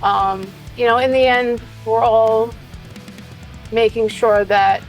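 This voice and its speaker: A woman's voice: a little high, steady tone, takes it slowly, soft background music. A woman giving an unscripted, conversational answer in an interview. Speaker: interviewee, crew member.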